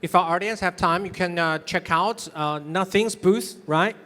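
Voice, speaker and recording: A man's voice: high-pitched, normal speed, bright, forceful tone. A man moderating an onstage interview, asking questions in a conversational way. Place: stage at a tech expo. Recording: reverberant hall sound.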